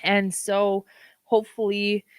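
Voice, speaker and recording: A woman hosting a livestream, talking casually with a froggy, hoarse voice and many ums. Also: mid-range voice, completely flat delivery, no echo, clean studio sound. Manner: unhurried, with frequent ums. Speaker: livestream host.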